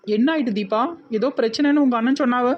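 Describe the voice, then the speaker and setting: A woman's voice: on the high side, quick pace, natural voice. Wry village sister-in-law, dull sound, woman acting. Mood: coaxing. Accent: southern Tamil Nadu. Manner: wry asides, mock-concerned coaxing. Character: exasperated, sarcastic village sister-in-law playing the supporter.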